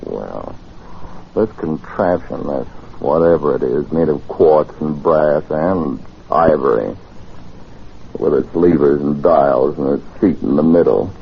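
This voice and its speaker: An actor playing a skeptical, wary gentleman in a 1940s radio drama, incredulous and hesitant. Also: a bass voice, slow, gravelly, full character voice. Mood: scoffing, doubtful. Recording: muffled, hissy old radio recording.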